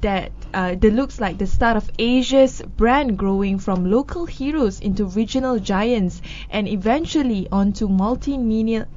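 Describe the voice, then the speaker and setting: A woman's voice: medium pitch, unhurried, mellow, slight background noise. Woman co-host chatting thru the news, laughs a lot, kinda dull lo-fi sound. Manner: chatty, laughs often between news items. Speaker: co-host of a news discussion show. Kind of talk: casual news discussion.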